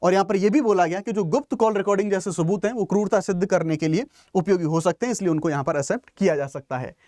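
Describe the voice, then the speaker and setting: A man's voice: high voice, quick pace, dark tone, no echo, clean studio sound. A man teaching exam students in an explanatory lecture, didactic, rephrasing his points and mixing in English terms. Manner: didactic, asks and answers own questions, repeats and rephrases points; mixes English terms into speech.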